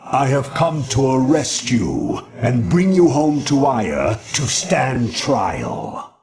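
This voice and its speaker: Slow, pompous alien high judge. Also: male voice, big pitch swings, darkish tone. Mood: haughty, scornful. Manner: pompous, formal, measured declamation. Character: stern, haughty alien judicator, elder male zealot. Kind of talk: video game voice acting.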